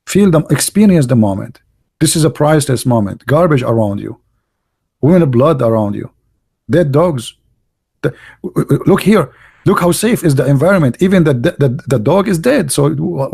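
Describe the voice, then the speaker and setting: A man's voice: on the high side, creaky, a little mellow, husky. A middle-aged man hosting an online show, giving sarcastic, mocking commentary in non-native English with many restarts.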